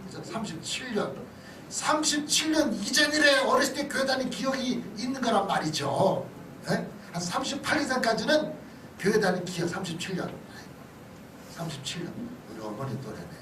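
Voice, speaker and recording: A man's voice: extremely high pitch, very animated, moderate pace, bright, forceful tone. A middle-aged man, a pastor, giving a conversational sermon-like lecture, often checking in with his listeners. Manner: conversational, punctuated with short checking questions to listeners. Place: church hall. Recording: distant mic in echoey room, noisy.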